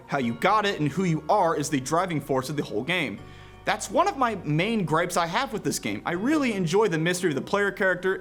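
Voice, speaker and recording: Male reviewer, higher-pitched and very lively in intonation, playful scripted narration with casual asides, faint music underneath. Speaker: video game reviewer.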